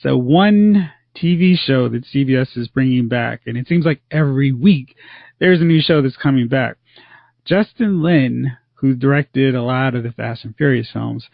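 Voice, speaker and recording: Podcast host, male, gravelly, dry close sound with a slightly dull lo-fi edge; poses long rambling questions. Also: medium pitch, dry recording. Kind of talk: casual movie podcast chat.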